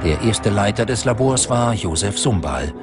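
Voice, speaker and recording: A man's voice: fairly low pitch, lively intonation, moderate pace, music in the background. A man reading scripted documentary narration as the narrator.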